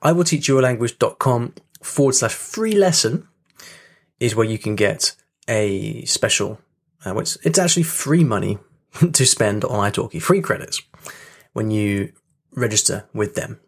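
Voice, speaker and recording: A man's voice: mid-range voice, expressive, moderate pace. A man hosting a podcast in casual solo chat, talking in a chatty, rambling way with restarts. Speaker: podcast host. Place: home room with rain on the windows.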